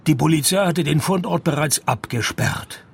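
An actor playing a dry, sardonic private detective who narrates and talks in a radio comedy, with a gravelly, deadpan voice. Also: male voice, on the high side, normal speed, slight background noise. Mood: brooding narration. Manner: deadpan, laconic.

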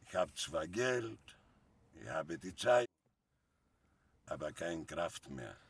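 Deep-voiced, slow older man. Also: big pitch swings, breathy edge, no room sound. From Greece. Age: older, around retirement age. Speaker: taxi passenger. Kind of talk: casual conversation. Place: inside a car.

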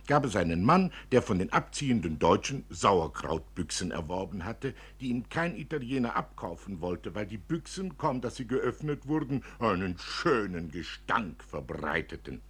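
A man reading a literary story as narrator, expressively, with dramatic and deliberate phrasing. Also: lowish voice, slightly rough. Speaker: narrator, reader.

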